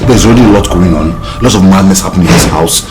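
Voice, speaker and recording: An actor with a Nigerian accent playing an exasperated, pleading peacemaker in a household quarrel, in a melodramatic film with music underneath. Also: male voice, sits low, quick pace, noisy background, lightly acted. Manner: pleading, repetitive, urgent. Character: exasperated man trying to calm a household quarrel.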